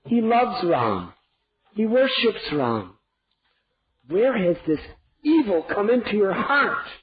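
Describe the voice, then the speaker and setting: A man voicing a grief-stricken old king who pleads desperately, his voice rising high, on a dull lo-fi recording. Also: very animated, slow pace, heavily acted. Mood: grieving reproach. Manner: anguished, pleading, raises voice high.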